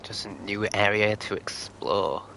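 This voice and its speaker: A man's voice: fairly low pitch, measured pace, gravelly, sharp tone, slight background noise, rough recording. A man giving casual livestream commentary on a video game and reading bits of it aloud, with game music behind him. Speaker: game streamer.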